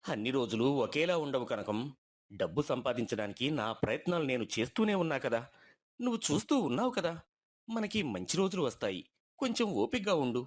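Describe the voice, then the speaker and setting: A man's voice: medium pitch, sing-song, a little fast. A man voicing a poor, patient village husband who pleads and reasons with hurt reproach in a moral story. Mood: pleading.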